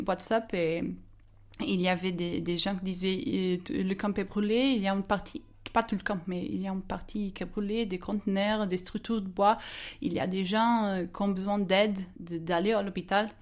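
A woman's voice: mid-range voice, fairly even intonation, normal speed. A woman in her twenties from Portugal, speaking French with a Portuguese accent as a non-native, telling a personal story hesitantly with many fillers and restarts. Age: 20s. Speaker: guest storyteller. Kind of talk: casual personal testimony.

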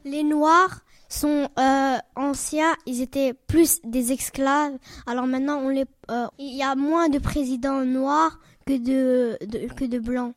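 A woman's voice: high voice, fairly even intonation, slow pace, few pauses, no echo, clean studio sound. A young girl taking part casually in a youth radio debate. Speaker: youth radio workshop participant.

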